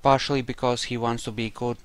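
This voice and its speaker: A man's voice: medium pitch, flat delivery, fairly quick, smooth. A man giving casual, wry commentary on a game playthrough, musing aloud on a close mic. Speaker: gamer playing in character.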